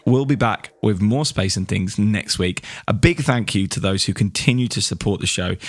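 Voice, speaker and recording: A British man co-hosting a podcast, talking casually in an upbeat, animated manner. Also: medium pitch, no room sound, clean studio sound. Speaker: podcast co-host. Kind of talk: casual space news podcast chat.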